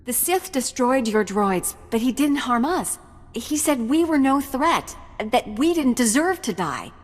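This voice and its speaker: An actress playing an earnest research facility survivor in a video game, explaining things with concern. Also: female voice, high voice, very animated, measured pace, exaggerated voice. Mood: relieved, earnest. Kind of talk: video game cutscene acting. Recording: long hall reverb, music behind.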